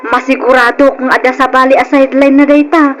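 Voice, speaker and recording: Very high-pitched, sneaky Ilocano gossip played by an actress. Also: female voice, little pitch movement, fairly quick, a little hiss or hum, lightly acted. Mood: skeptical. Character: sneaky, skeptical gossiping townswoman. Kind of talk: Ilocano radio drama acting.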